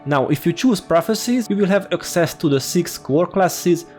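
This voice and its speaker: A man with a strong Hungarian accent talks newcomers through a game in a friendly, informal way. His voice sits somewhat high for a man. Soft music plays faintly beneath the voice-over. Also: animated, moderate pace. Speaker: gaming YouTuber.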